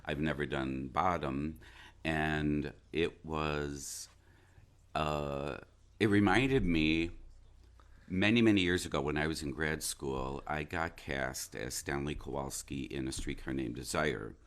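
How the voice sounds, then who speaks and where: A man talking reflectively as an interview guest, an actor who pauses and searches thoughtfully for his words. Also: rumbling low, fairly bright tone. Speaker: interview guest, stage and radio-play actor.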